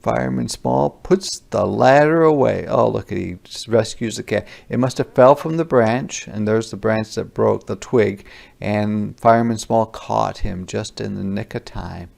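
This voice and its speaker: Guy teacher reading a picture book out loud, creaky voice, explains words simply as he goes. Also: on the low side, unhurried. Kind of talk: children's read-aloud with explanatory asides.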